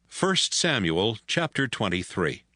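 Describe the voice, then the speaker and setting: A man's voice: lowish voice, sing-song, takes it slowly, bright, forceful tone. A man giving scripted narration of a Bible story as the narrator.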